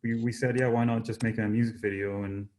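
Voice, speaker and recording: A man, a singer-songwriter guest, chatting casually in an interview in a hesitant, halting way that often repeats words. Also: fairly low pitch, little pitch movement, a little mellow. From Jordanian-born, Canada.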